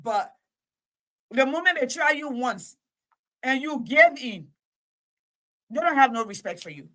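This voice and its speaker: Woman host, slightly high, bright and forceful, emphatic repeater, live call-in monologue. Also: lively intonation, measured pace, low-quality recording. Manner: emphatic, repeats phrases, stretches key words. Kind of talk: impassioned talk-show monologue.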